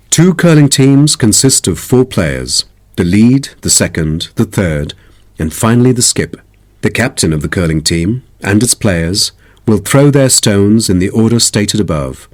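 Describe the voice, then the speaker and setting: A man playing a polite sports club expert, giving a formal, instructive scripted talk. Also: lowish voice.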